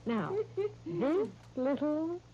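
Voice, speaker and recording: A woman playing a gentle, affectionate mother with a coaxing manner in a 1930s radio drama. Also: sits high, expressive, extremely slow, full character voice. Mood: tender, encouraging. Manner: tender, coaxing. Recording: dull, hissy 1930s radio broadcast.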